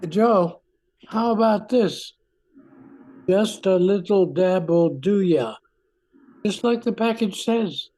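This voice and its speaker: A woman playing an unflappable male news office colleague who explains things in a measured way in a radio comedy. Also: mid-range voice, dark tone, low-quality recording. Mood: helpful. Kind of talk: old-time radio comedy acting.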